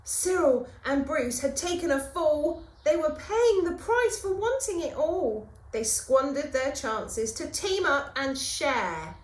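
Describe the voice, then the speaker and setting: A woman teacher reading a children's story aloud in a theatrical, expressive way with dramatic pauses. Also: high voice. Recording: roomy, echoing room sound.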